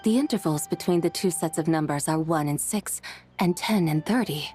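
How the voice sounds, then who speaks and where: A woman voicing a calm, dignified, literal-minded scholarly woman in a video game, speaking in a formal, measured, matter-of-fact way. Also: deep voice, faint music behind, natural voice. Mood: calculating.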